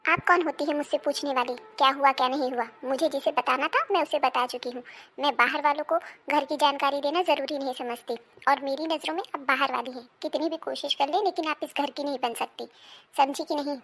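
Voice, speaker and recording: A woman acting an emphatic, dramatic role in a Hindi animated family melodrama. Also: high-pitched, a little fast. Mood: indignant, demanding. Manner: emphatic, dramatic, scolding and pleading delivery. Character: women of a quarrelling Indian family: daughter, mother, daughter-in-law.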